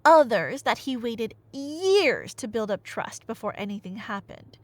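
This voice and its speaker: Animated, high-voiced woman storyteller, playfully teasing. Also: unhurried, dry recording. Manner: playful, teasing, conversational with dramatic emphasis. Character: nerdy girlfriend excitedly retelling Greek myths. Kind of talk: animated casual storytelling roleplay to a listener, ASMR audio.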